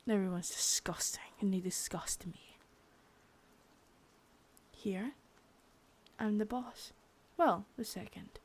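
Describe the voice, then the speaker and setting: A woman's voice: medium pitch, slow, pauses often, darkish tone. A young woman playing a threatening, profane second-in-command crime boss in a whispered ASMR roleplay, close to the mic. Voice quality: husky whisper.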